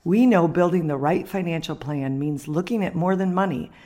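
A woman's voice: fairly low pitch, moderate pace, mellow. A woman delivering a scripted radio advertisement as a financial advisor.

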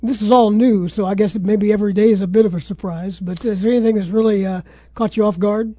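A man's voice: sits high, steady tone, fast, mellow, dry recording. A man hosting a sports talk show, asking casual interview questions. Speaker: radio sports talk host.

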